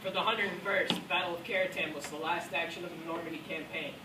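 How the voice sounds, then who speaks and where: A man plays a dutiful American paratrooper telling of combat in a war drama. The delivery is declamatory, with a high, piercing tone. The voice is picked up from far off, with faint noise behind it. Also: steady tone, quick pace, echoey room, lightly acted. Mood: reflective. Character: male American WWII paratrooper, dutiful veteran recounting combat. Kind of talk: rehearsed dramatic war narration.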